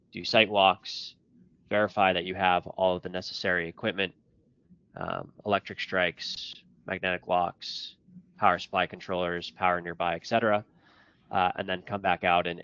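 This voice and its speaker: Very deep-voiced man, bright and forceful. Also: dry recording. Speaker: webinar host and presenter. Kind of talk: webinar presentation. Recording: dull, lo-fi online audio.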